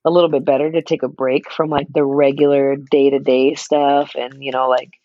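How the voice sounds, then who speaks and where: A young man with a naturally raspy voice, chatting casually as a podcast co-host, laid-back and quick to laugh. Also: medium pitch, little pitch movement, no echo, highs cut off. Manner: laid-back, laughs often, many fillers. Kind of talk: casual chat.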